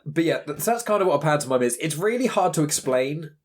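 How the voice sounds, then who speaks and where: A man's voice: on the high side, lively intonation, fast talker. A young British man co-hosting a podcast, chatting casually with a sarcastic, teasing manner. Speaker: podcast co-host.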